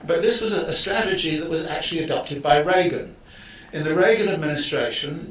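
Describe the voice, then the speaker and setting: A man lecturing students as a visiting professor, steady and explanatory, circling back to restart his phrases. He sounds set back from the mic in a reverberant room, with a faint hiss behind him. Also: fairly high pitch, distant mic.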